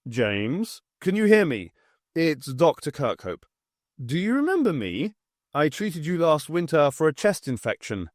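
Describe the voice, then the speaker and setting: A man's voice: fairly high pitch, very animated, unhurried, dry recording, studio quality. A man voicing a guilt-ridden, grieving country doctor, pleading with his dead wife. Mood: gentle. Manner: pleading, desperate.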